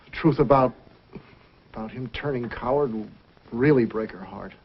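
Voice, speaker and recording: A man's voice: mid-range voice, normal speed, slight background noise, close to an everyday voice. An actor playing an anguished, guilt-ridden frontier man in an old-time radio drama, with emphatic, emotional delivery. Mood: anguished, bitter. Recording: dull, husky old radio recording.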